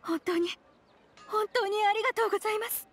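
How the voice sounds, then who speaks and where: A woman's voice: extremely high pitch, a little fast. A woman voicing a worried, polite young woman in a game, speaking formally and earnestly. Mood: grateful.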